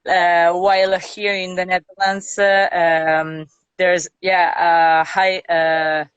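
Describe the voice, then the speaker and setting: A woman's voice: on the low side, level tone, slow, clear, resonant tone, no room sound, lo-fi sound. A woman from Italy speaking English, a nurse talking thoughtfully in a casual interview with many fillers. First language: Italian.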